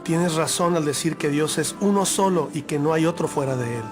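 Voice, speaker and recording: A man plays an earnest religious scholar in a biblical audio drama. His voice is somewhat high and declamatory, with music clearly playing behind it. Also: fairly quick, close to an everyday voice. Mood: approving, earnest. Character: earnest scholar, male teacher of the law. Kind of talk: dramatized audio Bible acting.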